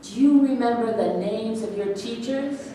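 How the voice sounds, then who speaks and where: A woman's voice: on the high side, warm tone, lightly acted. Woman acting composed psychiatrist, very echoey, distant. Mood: probing. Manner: measured, clinical questioning. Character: probing, composed female psychiatrist questioning a patient. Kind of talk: staged reading of a stage play. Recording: very echoey room, distant mic, faint noise.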